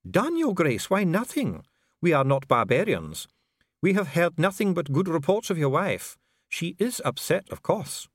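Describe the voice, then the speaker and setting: A man voicing a calm dwarf official with a measured, bureaucratic, smoothly courteous manner in an audiobook. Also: a little high, no room sound, clean studio sound, pitched above the performer's own voice. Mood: coolly polite. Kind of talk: audiobook character voice.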